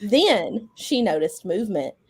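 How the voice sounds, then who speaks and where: A woman's voice: mid-range voice, big pitch swings, measured pace, husky. A woman giving a dramatic reading of a story, mixed with casual chat among friends. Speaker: guest reader on a live show.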